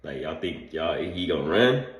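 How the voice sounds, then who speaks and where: Deep-voiced, blunt man in an echoey room. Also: lively intonation, normal speed. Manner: blunt, slangy, skeptical. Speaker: reaction video host. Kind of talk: casual reaction commentary.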